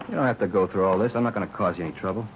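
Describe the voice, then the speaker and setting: A man's voice: fairly low pitch, racing, natural voice. A man acting a weary, defensive robbery suspect in a 1950s radio drama, with blunt, slangy street talk. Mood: curt, defiant. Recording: dull, hissy old radio recording.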